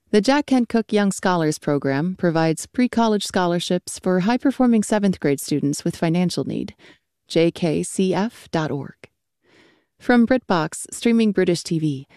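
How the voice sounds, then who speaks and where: Female announcer, dark and mellow, dry studio sound, measured credit reading. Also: on the low side, no room sound. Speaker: radio announcer. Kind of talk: read sponsor credits.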